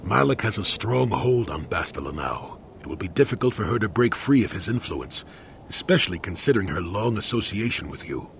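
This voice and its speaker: Deep, gravelly, solemn Jedi mentor. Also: male voice, very animated, slight background noise. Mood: grave, sombre. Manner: solemn, measured, explanatory. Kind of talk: dramatic video game acting.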